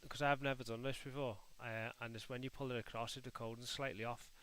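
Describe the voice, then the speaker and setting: A man's voice: mid-range voice, level tone, quick pace, a bit bright, low-quality recording. A man from Liverpool with a Scouse accent, hosting a live stream and chatting casually to viewers while he builds in a game editor, calling them mate and muttering to himself as he works. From Liverpool, England. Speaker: livestream host.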